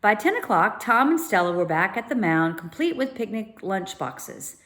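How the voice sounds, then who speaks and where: A woman's voice: medium pitch, big pitch swings, fairly bright tone. A woman storyteller narrating a children's book, slipping into informal explanatory asides. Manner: pauses to explain unfamiliar words informally. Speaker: read-aloud storyteller.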